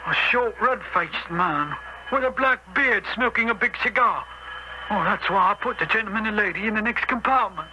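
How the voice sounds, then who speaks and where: An actor playing a chatty, deferential British train guard in a 1970s radio drama, with a folksy manner. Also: male voice, high-pitched, normal speed. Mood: startled. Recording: muffled old radio broadcast, loud station noise.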